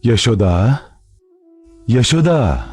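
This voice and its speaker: A man voicing a grave, solemn figure calling out in drawn-out words in a children's mythological story. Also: medium pitch, wildly swooping pitch, darkish tone, exaggerated voice.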